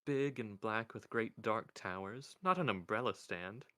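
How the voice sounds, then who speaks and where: A man's voice: fairly low pitch, bright, forceful tone. A man voicing Kelly, a haughty, critical young woman who drags her words. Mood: disdainful. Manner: critical, drawn-out.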